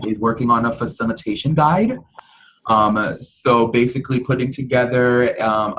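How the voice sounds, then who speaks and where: A man giving an informal report on a work conference call, speaking in a hesitant, halting way. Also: a bit bright. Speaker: committee member presenting a report. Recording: noisy call with low rumble.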